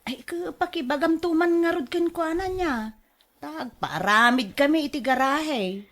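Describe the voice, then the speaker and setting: A woman's voice: sits high, a little slow, a bit bright. A woman acting as a restless, nagging wife in a radio drama, full of sighs and exclamations. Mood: cheerful, boastful. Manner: exclamatory, sighing, querulous. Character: restless, nagging wife fretting and bickering with her husband.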